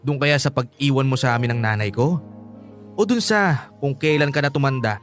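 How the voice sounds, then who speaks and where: A man's voice: mid-range voice, normal speed, faint music behind, natural voice. A man acting a bitter, hot-headed grown son in a Filipino radio drama, confrontational and sarcastic. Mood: bitter, taunting.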